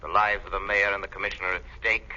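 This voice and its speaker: A super sharp low-voiced avenger, menacing n commanding, old hissy 1930s radio. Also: male voice, little pitch movement, muffled recording. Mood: stern accusation. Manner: commanding, taunting, deliberate. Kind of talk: 1930s radio drama acting.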